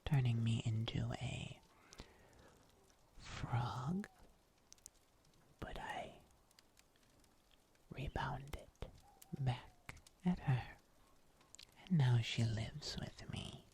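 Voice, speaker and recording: An ASMR performer playing a kindly huntsman healer in a whispered fantasy roleplay, soothing and breathy, with old-fashioned phrasing. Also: medium pitch, drawn-out words, pauses often, darkish tone, a little hiss or hum. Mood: wry storytelling. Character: kindly fairy-tale huntsman healer, caring and gently wry.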